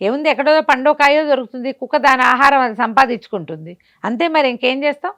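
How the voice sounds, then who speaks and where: A woman's voice: high voice, fast, fairly bright tone. A woman telling a folk tale conversationally, often asking and answering her own questions. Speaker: storyteller. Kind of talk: spoken folk-tale storytelling.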